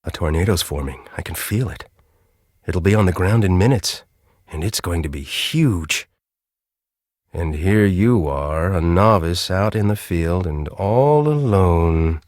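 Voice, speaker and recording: A man's voice: deep voice, animated, a little slow, a little mellow, no echo, studio quality. An actor playing a bitter, arrogant middle-aged male scientist in a video game, with a sardonic, condescending, theatrical way of talking. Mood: ominous, hushed. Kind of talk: video game character acting.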